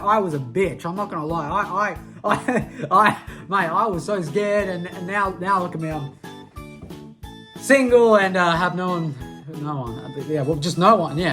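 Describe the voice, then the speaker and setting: Rambling young Australian vlogger, mid pitch. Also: animated, moderate pace, a bit bright, soft background music. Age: young adult. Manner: rambling, slangy, self-mocking, lots of restarts. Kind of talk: casual nostalgic rant to camera.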